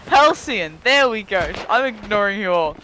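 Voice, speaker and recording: A man's voice: sits high, animated, moderate pace, pushed and bright, a little hiss or hum, poor audio. A man doing a dramatic story reading over voice chat, dropping into casual, sweary asides with friends. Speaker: reader of a fan fiction story. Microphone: voice chat microphone.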